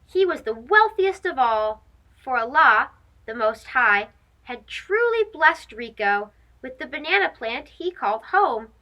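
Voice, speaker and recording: A woman's voice: high voice, measured pace, highs cut off. A woman hosting a children's read-aloud, narrating a storybook expressively and speaking directly to young listeners. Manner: animated, addressing young children.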